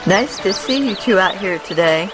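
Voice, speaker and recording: A woman's voice: medium pitch, animated, normal speed, over music, natural voice. An actress playing a friendly, informative woman at a survivor camp in an audio drama, chatty and explanatory. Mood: friendly, explaining.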